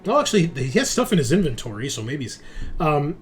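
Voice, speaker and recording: A man narrating a tabletop game as game master in an informal, halting way. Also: on the high side, sing-song. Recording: noisy room recording.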